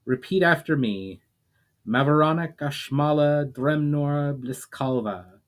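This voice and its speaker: A man voicing a solemn male leader who grants elemental powers to his friends, speaking in a measured way. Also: fairly high pitch, close to an everyday voice. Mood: chanting.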